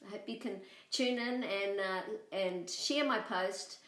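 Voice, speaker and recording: A woman's voice: medium pitch, measured pace, fairly bright tone, reverberant, distant mic. A woman, hosting a story time and reading a storybook expressively to children.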